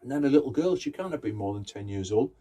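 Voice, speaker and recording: A man's voice: mid-range voice, expressive, fast, dark tone, slightly rough. A man telling a folktale conversationally, in short phrases with rhythmic repetition. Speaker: Romani storyteller. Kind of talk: oral folktale storytelling.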